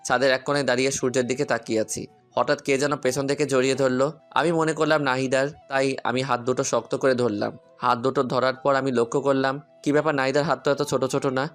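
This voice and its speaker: A man's voice: mid-range voice, steady tone, fast. A man narrating a scripted story in the first person. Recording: music under the voice. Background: guitar music.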